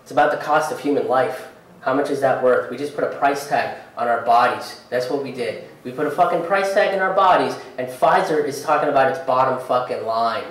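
Sarcastic young comedian in echoey theater. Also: male voice, medium pitch, brisk, a bit bright. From India. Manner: politely angry rants with sarcastic asides and cursing. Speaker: stand-up comedian. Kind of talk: live stand-up comedy. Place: theater stage before a laughing audience. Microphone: handheld mic, heard from far off in the hall. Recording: very echoey theater sound from a distance.

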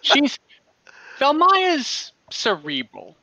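A man running a tabletop game as dungeon master over a video call, relaxed and hesitant, thinking aloud with many fillers. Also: very high voice, extremely slow, a little hiss or hum. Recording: dull lo-fi video call audio.

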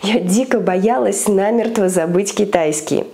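A woman's voice: medium pitch, a little slow, warm tone. A woman in her thirties, a language teacher, giving an upbeat scripted video lesson to camera in a small room on a lapel mic. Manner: upbeat, gesturing with her hands, clear list-like delivery. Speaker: Chinese language teacher and school founder.

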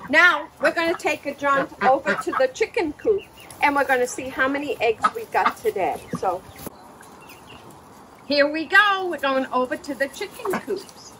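A middle-aged woman hosting a storytime for young children, explaining things simply and haltingly, recorded outdoors by a camera a little way off. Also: high-pitched, animated, bright, forceful tone. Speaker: children's farm storytime host. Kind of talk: unscripted show-and-tell for young children. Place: outdoors in a backyard farm pen. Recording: noisy outdoor recording with clicks.

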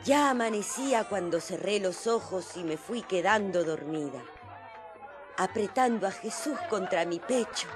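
Casting: actress as gentle young mother telling her story in radio drama, mid-pitched, over music and festive crowd. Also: animated, measured pace, lightly acted. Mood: tender, reflective. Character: tender young mother recalling her baby's birth night. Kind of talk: scripted first-person narration in radio drama.

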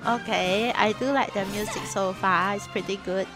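A woman's voice: medium pitch, fairly even intonation, normal speed, music in the background, poor audio. A young woman giving casual, hesitant reaction commentary as a streamer.

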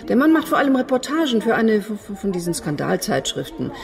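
A woman's voice: medium pitch, big pitch swings, fairly quick, vocal fry, over music. An actress playing an offhand, dismissive woman with a gossipy streak in a German radio crime drama. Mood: offhand, brusque.

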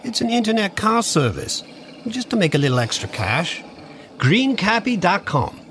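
Man as lively, earnest sidekick. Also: high-pitched, moderate pace, slight background noise. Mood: cheery. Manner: earnest, mild. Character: meek, cheery, loyal male assistant and driver. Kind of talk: scripted audio comedy acting.

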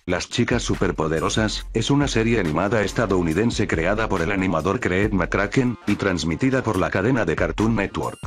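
Male narrator, low register, scripted countdown read with light music underneath. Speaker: narrator of a cartoon top-list video.

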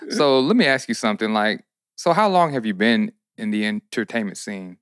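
Man, podcast host, relaxed questions, dry studio sound. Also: lowish voice, moderate pace, smooth, dry recording. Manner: relaxed, asks questions, short reactions. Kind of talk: casual interview chat. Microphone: studio mic, headphones.

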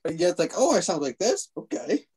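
A man's voice: high-pitched, very animated, fairly quick, a little mellow, breathy edge, dry recording. A young man chatting informally as an actor guest on a video call. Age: young adult. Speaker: amateur actor, panel guest. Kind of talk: casual chat.

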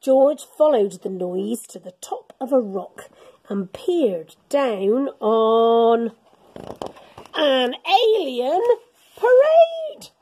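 Animated, high-voiced woman reading a picture book. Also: very slow, breathy edge. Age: middle-aged. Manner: animated, dramatic storytelling with gasps and whispers. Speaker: teacher reading to young children. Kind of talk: expressive picture-book read-aloud for children. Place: school classroom, indoors.

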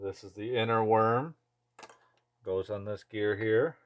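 A man's voice: fairly low pitch, steady tone, takes it slowly. Man talking through workshop job over noise. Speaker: chainsaw mechanic and video host. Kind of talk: casual hands-on workshop demonstration. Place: indoor workshop bench. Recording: clear background noise, clicking tool handling.

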